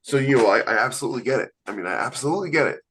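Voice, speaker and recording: A man's voice: mid-range voice, expressive, racing, fairly bright tone, slight background noise, low-quality recording. A man co-hosting a podcast as an author, talking in casual chat and driving his points home by repeating them. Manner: emphatic, repeats points for stress.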